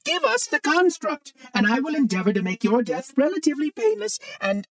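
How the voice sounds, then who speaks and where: A man's voice: very high-pitched, big pitch swings, brisk, fairly bright tone, far from the mic, exaggerated voice. A man playing a prim, fussy, ancient robot monitor in a video game, speaking in a formal, precise, oddly cheery way with light robotic processing. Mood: indignant. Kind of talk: video game character acting.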